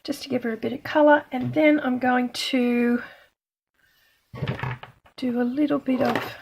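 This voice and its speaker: Chatty woman with a croaky voice. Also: sits high, steady tone, unhurried, a little mellow, slight background noise. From Australia. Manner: chatty, self-deprecating, talking while working. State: slightly croaky voice. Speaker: craft demonstrator. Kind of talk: casual card-making tutorial.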